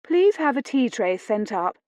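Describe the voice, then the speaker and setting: A woman's voice: a little high, sing-song, measured pace, darkish tone, no echo, pitched above the performer's own voice. Woman acting a poised, coolly polite southern English lady. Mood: composed. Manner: composed, polite, cool.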